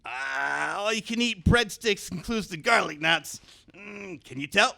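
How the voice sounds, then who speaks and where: A man's voice: sits high, animated, measured pace, creaky, pushed and bright, heavily acted. A man in a radio comedy playing a bumbling, absent-minded old dentist, hesitant and muttering as he repeats himself. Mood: rambling, muddled. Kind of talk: comic radio-play acting.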